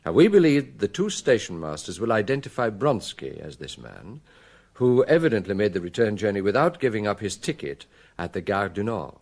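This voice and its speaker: An actor with a British accent playing a middle-aged French chief inspector in a radio drama, measured, courteous, gentle and shrewd. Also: male voice, lowish voice, big pitch swings, creaky, exaggerated voice. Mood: explaining, grave.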